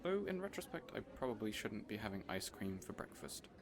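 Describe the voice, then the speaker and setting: A man playing a boyish, caring supervillain boss in an audio roleplay, earnest and rambling, hesitant yet excitable. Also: fairly low pitch, a little fast, background noise. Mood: defensive, cheerful.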